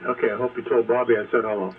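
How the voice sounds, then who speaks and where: A man's voice: mid-range voice, steady tone, racing, slightly rough. A man chatting casually as an amateur radio operator over an AM shortwave transmission. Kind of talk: casual sign-off chat over ham radio. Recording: distant phone-like noisy AM radio.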